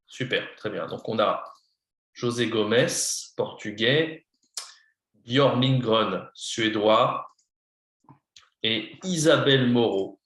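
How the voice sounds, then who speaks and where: A male language teacher speaks slowly and patiently, with an unhurried pace that gives a beginner time to follow. His voice sits at an ordinary pitch. The room around him rings with a noticeable echo. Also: a bit bright. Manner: patient, slow, coaching a beginner. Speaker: French teacher. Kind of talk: online lesson, mixing English and French.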